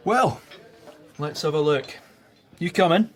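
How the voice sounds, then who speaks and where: An actor playing a brash, sly newspaper journalist with a mocking manner in a radio drama. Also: male voice, a little high, slow. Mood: cocky.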